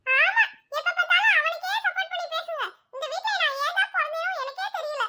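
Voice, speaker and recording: A woman's voice: extremely high pitch, brisk, sharp tone, exaggerated voice. A woman voicing a sulky young girl who feels left out, whining and pleading. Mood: whiny. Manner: whiny, pleading.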